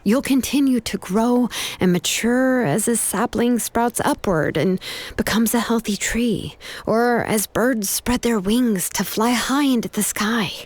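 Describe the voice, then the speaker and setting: An actress playing a calm, reassuring young engraver and mentor in a video game story scene. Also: female voice, a little high. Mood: gently reassuring. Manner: calm, unhurried, gently reassuring. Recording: music under the voice.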